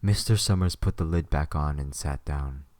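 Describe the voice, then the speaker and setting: A man's voice: extremely low pitch, normal speed, a little mellow, no echo, clean studio sound. A man reading scripted third-person story narration as the narrator of an audio drama.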